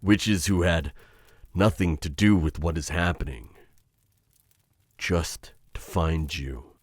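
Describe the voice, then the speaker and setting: A man playing a menacing, conflicted witch hunter in an ASMR roleplay, addressing his captive in a halting, intimate, taunting way. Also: sits low, no echo, clean studio sound. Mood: weary, remorseful.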